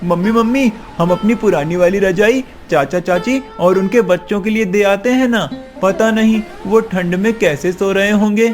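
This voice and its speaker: An innocent small boy from a cartoon, put on by a man, talks in a high, whiny, childlike voice. Faint music plays behind. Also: moderate pace, hardly any pauses, lightly acted. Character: pleading, innocent little boy.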